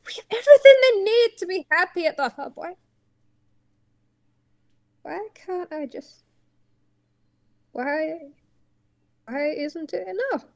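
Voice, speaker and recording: A woman voicing an old male tortoise uncle, solemn, protective and stubborn, pleading in halting, repeated words. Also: extremely high pitch, lively intonation, vocal fry, darkish tone, voice pushed up, heavily acted. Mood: anguished pleading. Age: older.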